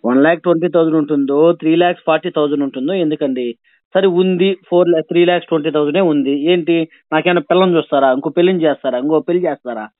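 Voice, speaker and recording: Give the voice of a man arguing as a borrower on a phone call. He speaks extremely fast in a high voice, sarcastic and insistent, often repeating his point. The sound is narrow and thin, like a recorded phone line. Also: no room sound. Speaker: borrower on a debt collection call. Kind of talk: argumentative phone conversation.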